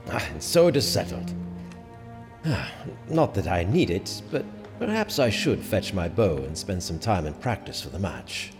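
An actor playing a smug, self-assured sheriff in a medieval audio drama, talking in an urbane, teasing manner. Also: male voice, sits low, sing-song, normal speed. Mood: smug, playful. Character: smug, confident male sheriff, a prince's trusted friend. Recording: reverberant hall with faint music behind.

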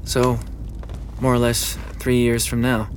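A man's voice: mid-range voice, monotone, slow pace, slight background noise, natural voice. A man playing a brusque, authoritative ruler of seers in a fantasy audio drama. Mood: businesslike, probing. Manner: curt, authoritative.

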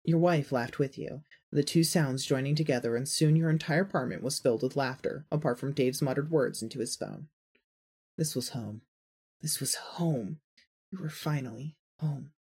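A narrator reading a second-person story from a script in a husky voice. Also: medium pitch, normal speed, darkish tone.

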